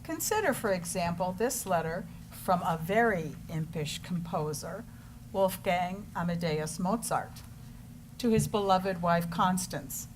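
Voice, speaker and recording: A woman's voice: lowish voice, animated, measured pace. Woman narrator with noisy background. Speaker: narrator of a readers theater. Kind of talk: scripted introduction narration. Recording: clear background noise, live room ambience.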